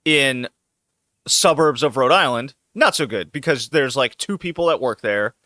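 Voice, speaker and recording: A man hosting a casual podcast with a bright, forceful voice, laughing easily through loose chat. The sound is dry and close, with no room around it. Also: mid-range voice, lively intonation, moderate pace, dry recording. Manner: loose, joking, laughs often. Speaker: podcast host.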